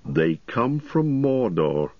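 A male reader plays a grave, commanding ranger from a fantasy storybook. The voice is low and rough-edged. He talks quietly and bluntly, weighing each phrase, close and dry. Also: moderate pace, dry recording, muffled recording.

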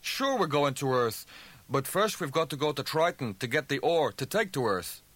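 A man voicing a burly, hard-drinking Danish spaceship crewman in an audiobook, loud, blunt and offhand, with a Danish accent. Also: fairly high pitch, sing-song, moderate pace, dry recording, pitched above the performer's own voice. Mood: casual, friendly. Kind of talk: audiobook character dialogue.

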